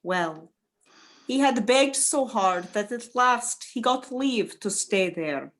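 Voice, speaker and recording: A woman's voice: a little high, animated, slow pace. A woman narrating a folktale from a script for an amateur radio play.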